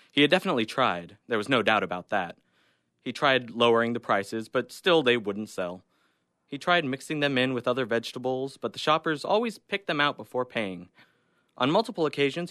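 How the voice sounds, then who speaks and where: A young man narrates a short story he wrote, reading from a script. His voice is mid-pitched and recorded dry, with hardly any room sound. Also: a bit bright, no room sound. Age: young adult. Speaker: student writer reading own story.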